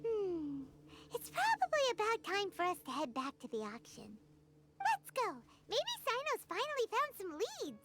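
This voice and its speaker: A woman voicing a small, chatty, indignant fairy companion in an anime game, squeaky and childlike, with exclamations. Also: huge pitch swings, unhurried, noisy background, full character voice. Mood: curious. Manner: refers to self by name, exclaiming. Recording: loud game music underneath.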